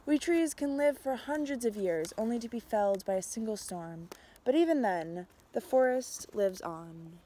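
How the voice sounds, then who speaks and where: A young adult woman reading a picture book aloud outdoors, with a measured, expressive storytelling delivery. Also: fairly high pitch, slight background noise. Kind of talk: storybook read-aloud, narrated as a tree. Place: outdoors in snowy winter woods.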